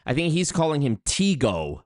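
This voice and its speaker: A man's voice: on the high side, huge pitch swings, moderate pace, no echo, clean studio sound. A man giving casual live-stream commentary on a video, wry and chatty, with restarts and asides.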